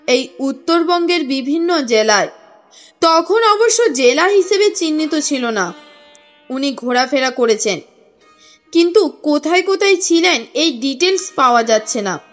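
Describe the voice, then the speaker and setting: Woman reading as slow, courteous, earnest businessman. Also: sits high, sing-song. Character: earnest, courteous businessman recounting his family history. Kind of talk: audio story character reading. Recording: music under the voice.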